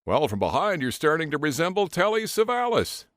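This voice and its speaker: A man's voice: on the high side, very animated, brisk, a bit bright, no echo, clean studio sound, pitched above the performer's own voice. A man voicing a smug, sneering male office colleague who mocks and taunts in an audiobook. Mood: smug teasing. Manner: mocking, taunting. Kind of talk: audiobook character dialogue.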